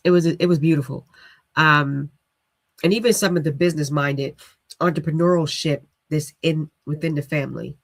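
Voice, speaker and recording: A man's voice: fairly high pitch, steady tone, normal speed, vocal fry, a bit bright, no room sound. A man with a nasal voice hosting a casual livestream, rambling with many fillers and restarts. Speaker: livestream host.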